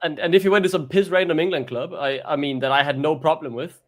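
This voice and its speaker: A man's voice: a little high, quick pace. A man, co-hosting a casual fan chat over a video call and rambling along in a chatty way. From Denmark. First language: Danish. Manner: rambling, chatty, repeats his opening words. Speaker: co-host.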